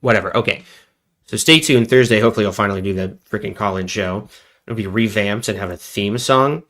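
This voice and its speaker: A man's voice: fairly low pitch, fairly quick, a bit bright. A man hosting a casual livestream while sick with a cold, rambling and joking at his own expense. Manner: rambling, joking, self-deprecating. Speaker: livestream host.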